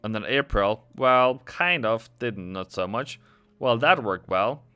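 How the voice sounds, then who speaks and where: Bright-voiced man hosting a trading livestream. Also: mid-range voice, animated, measured pace, clear, resonant tone, soft background music. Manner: chatty, sarcastic, jokey with viewers. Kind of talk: casual livestream chat.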